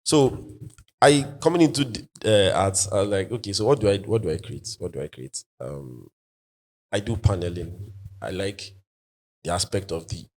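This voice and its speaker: Deep-voiced Nigerian man, thoughtful and halting. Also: darkish tone. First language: Igbo. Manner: thoughtful, halting, with many fillers and restarts. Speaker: artist. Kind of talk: conversational panel answers.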